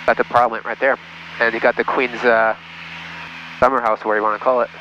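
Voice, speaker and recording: A man's voice: mid-range voice, flat delivery, fast talker, bright, forceful tone. A man in his thirties, a pilot giving casual step-by-step commentary over an aviation headset in a small plane. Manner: explanatory, step by step. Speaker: private pilot. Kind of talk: casual cockpit commentary and radio calls. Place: small light aircraft cockpit in flight. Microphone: aviation headset boom. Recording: muffled aircraft headset audio, engine noise.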